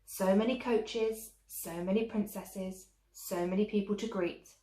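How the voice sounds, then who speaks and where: A woman's voice: mid-range voice, steady tone, a little slow, dark tone. A woman storyteller reading a children's tale expressively, like a teacher to young listeners. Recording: echoey room.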